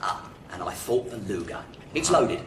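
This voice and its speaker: A man's voice: lowish voice, very animated, normal speed, roomy sound, recorded from a distance, slight background noise. A man playing a loyal, deferential manservant and driver with a polite, matter-of-fact, colloquial way of talking, in a radio play. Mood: helpful.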